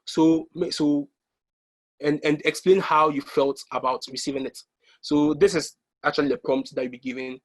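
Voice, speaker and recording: A young man from Ghana presenting an online exam-prep workshop over slides, pausing often with ums and restarting his sentences. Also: fairly high pitch, flat delivery. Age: young adult. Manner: hesitant, many ums and restarts.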